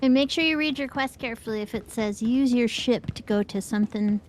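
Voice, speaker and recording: A woman's voice: fairly high pitch, a little fast. A woman chatting casually as she co-hosts a live video game stream. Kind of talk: casual live gameplay chat. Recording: stream with faint background noise.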